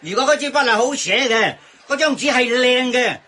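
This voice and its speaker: An emphatic Hong Kong radio-drama worker. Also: male voice, extremely high pitch, lively intonation, moderate pace, sharp tone, exaggerated voice. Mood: indignant. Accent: Hong Kong Cantonese. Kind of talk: Cantonese radio drama acting. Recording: faint background noise.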